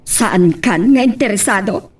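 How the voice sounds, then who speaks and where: Woman as bossy, animated Filipino mother. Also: mid-range voice, unhurried, breathy edge, recorded from a distance. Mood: scolding, protective. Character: bossy, protective older mother of a grown son. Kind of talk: Filipino audio drama acting.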